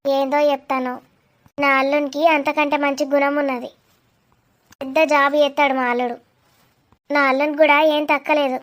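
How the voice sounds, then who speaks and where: A woman's voice: high voice, little pitch movement, brisk, long pauses, fairly bright tone. A woman playing a stubborn, outspoken village mother in a cartoon. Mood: stubborn, defiant. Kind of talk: animated cartoon dialogue.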